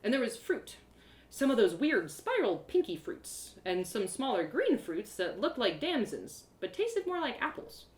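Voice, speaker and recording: A woman narrating a first-person adventure story in an expressive, theatrical way with dramatic pauses. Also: a little high, reverberant. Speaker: storyteller performing own one-woman audio drama.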